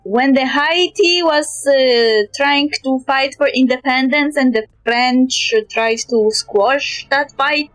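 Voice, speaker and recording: A woman's voice: high voice, takes it slowly, hardly any pauses, a bit bright, no room sound, soft background music, rough recording. A woman with a Polish accent chatting casually on a live game stream, joking and opinionated. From Poland.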